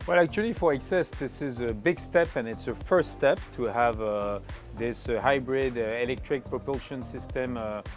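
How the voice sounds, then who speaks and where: A man's voice: medium pitch, normal speed. A man in his forties speaks on a lapel mic inside a boat's salon. He talks upbeat and fluently, leaning on fillers like "obviously" and "basically". Light music plays faintly behind him. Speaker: boat brand manager interviewed. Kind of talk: interview answers.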